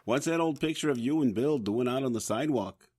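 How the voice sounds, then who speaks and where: An actor plays an old man in an audio drama with a mid-range voice. He is gruff in manner and blunt, prodding like a loyal friend who has no time for nonsense. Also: medium pitch, a little fast, heavy background noise, close to an everyday voice. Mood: curious, matter-of-fact. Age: older.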